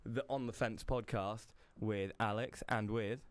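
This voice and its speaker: A young man with a British accent chats easily as a podcast co-host, laughing often. His voice sits fairly low. It is recorded close and dry, with no room sound. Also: fairly even intonation, a little slow, no room sound. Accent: British English. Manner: laughs often, easygoing and chatty. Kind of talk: casual chat.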